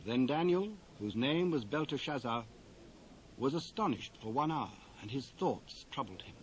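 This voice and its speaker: A British man narrates scripture solemnly and with measured phrasing. His voice is mid-pitched, on a dull cassette transfer with a faint hiss. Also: lively intonation.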